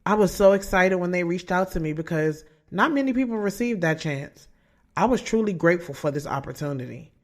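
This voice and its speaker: A woman's voice: fairly low pitch, expressive, fairly quick, no room sound, clean studio sound, close to an everyday voice. A woman playing a hopeful, candid author of about thirty in an audio drama, speaking in a casual, earnest way. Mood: hopeful, reflective. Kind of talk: first-person audio drama narration and radio interview dialogue.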